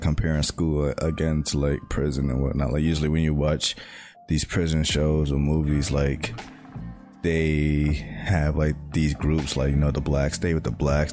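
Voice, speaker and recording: Young man, very low voice, flat delivery, halting and reflective, steady guitar music underneath. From United States. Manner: halting, many "like" fillers, reflective. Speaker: speaker sharing personal reflections. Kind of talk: casual monologue.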